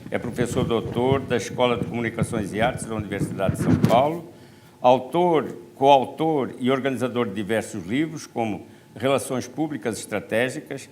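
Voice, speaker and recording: An older man with a Brazilian Portuguese accent co-moderates a panel. He speaks in a deliberate, rhetorical way as he frames questions. His pitch is ordinary. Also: a little mellow. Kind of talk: formal speech and questioning.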